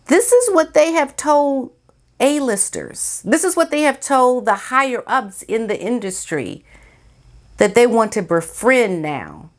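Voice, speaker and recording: A woman's voice: on the high side, big pitch swings, a little slow. An American woman giving informal opinion commentary as a vlogger, speaking in a sassy, emphatic, colloquial way.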